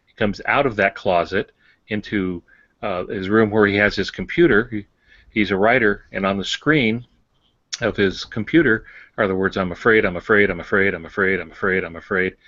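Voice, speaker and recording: A man with a fairly low voice explains things in casual podcast talk, pausing and feeling his way through sentences with dry humor. The sound is close and dry, though somewhat dull and lo-fi. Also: a bit bright, dry recording. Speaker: fiction writer, podcast panelist. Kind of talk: casual talk on writing craft.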